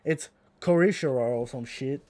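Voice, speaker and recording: A man's voice: medium pitch, moderate pace, darkish tone, no room sound. A man with an Australian accent, co-hosting a podcast in casual chat, blunt and swearing freely as he rants. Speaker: podcast co-host.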